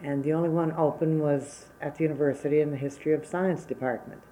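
A woman's voice: sits low, fairly even intonation, fast, warm tone, a little hiss or hum, poor audio. A woman in her seventies from Wisconsin whose first language was Czech, recalling her working life plainly and unhurriedly in an oral history interview. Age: 70s.

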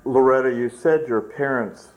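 A man conducting an oral history interview, introducing it formally and asking measured questions. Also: medium pitch, highs cut off.

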